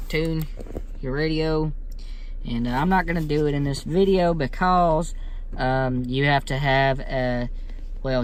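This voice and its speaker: A man with a Southern US accent giving an informal hands-on tutorial in a folksy, rambling way. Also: mid-range voice. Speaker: how-to video host. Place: inside a vehicle. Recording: clear background noise inside a vehicle.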